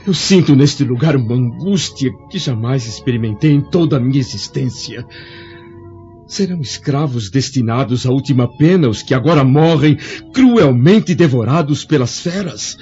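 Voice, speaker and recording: A man voicing a troubled Roman senator who speaks gravely in a radio play. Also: mid-range voice, animated, moderate pace, mellow, close to an everyday voice. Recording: dull audio with music behind.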